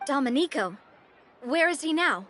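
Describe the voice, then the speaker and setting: A woman voicing a brisk, practical ally in a video game, frank and to the point. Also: high-pitched, lively intonation, a bit bright, a faint crowd, a little hiss or hum.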